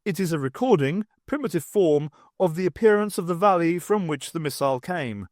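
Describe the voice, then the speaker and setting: A man's voice: on the high side, expressive, fairly quick, darkish tone, dry recording, studio quality, pitched above the performer's own voice, lightly acted. A man voicing tentacled alien data pirates who speak in clipped, formulaic statements for an audiobook. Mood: analytical.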